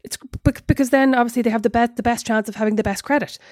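A woman's voice: fairly high pitch, fairly even intonation, racing, no echo, clean studio sound. An Irish woman co-hosting a podcast, chatting in a rambling, self-mocking way. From Ireland. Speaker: podcast co-host. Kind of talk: casual chat.

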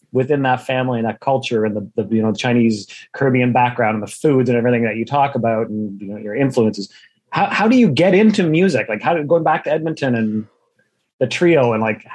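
A man hosting an interview, asking questions in a halting way and rephrasing as he goes. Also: medium pitch, lively intonation, darkish tone, breathy edge. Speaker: podcast host. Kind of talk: casual interview.

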